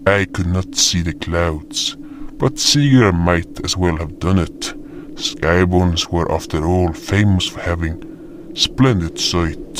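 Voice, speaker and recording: A man acting as a grave fantasy guardian tells his tale in a low voice. The scripted narration is slow and somber, with noticeable noise behind it. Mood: reflective. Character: somber male fantasy guardian recounting a doomed hunt. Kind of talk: scripted first-person story narration. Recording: noisy background ambience.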